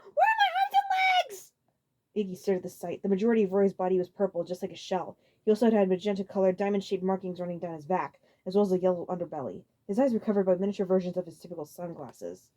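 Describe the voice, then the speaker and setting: A woman reading a story aloud as a dramatic narrator, expressive, occasionally stumbling over a phrase. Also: on the low side, fast, few pauses. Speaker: audiobook narrator.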